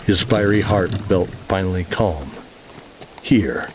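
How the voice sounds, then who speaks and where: A man's voice: low voice, slow, slight background noise. A man working as narrator, reading scripted fantasy narration. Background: music.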